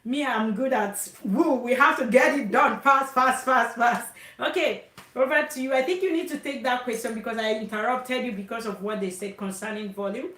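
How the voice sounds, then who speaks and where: A woman hosting a live stream who talks loudly and briskly, calling herself a talker. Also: on the high side, echoey room. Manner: loud, talkative and brisk. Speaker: host.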